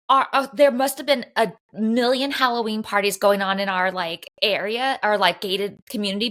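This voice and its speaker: A woman's voice: mid-range voice, a bit bright. A woman co-hosting a podcast, telling a rambling, chatty story full of "like" fillers. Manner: chatty, rambling, heavy use of "like". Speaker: podcast co-host. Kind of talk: casual chat, storytelling. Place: Los Angeles area home.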